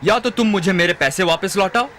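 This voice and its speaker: A man's voice: high-pitched, fairly quick, fairly bright tone, a little hiss or hum. A man playing a greedy, ruthless moneylender in a moral-story cartoon, stern and threatening. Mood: menacing. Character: greedy, ruthless male moneylender, cold and menacing. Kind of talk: animated moral-story dubbing.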